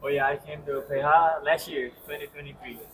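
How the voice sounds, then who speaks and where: A man's voice: on the high side, fairly even intonation, fairly quick. A young man from Indonesia, a college basketball player, talking in a laid-back, slightly unsure way. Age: young adult. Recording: distant mic with noise.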